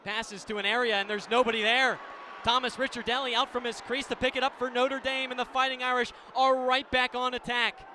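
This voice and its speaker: A very high-pitched American man calls a game live on radio. His tone is bright and forceful, his phrasing clipped and descriptive. Faint sports venue ambience sits behind the voice. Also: little pitch movement, a little fast, slight background noise. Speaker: play-by-play radio commentator. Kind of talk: live lacrosse radio commentary.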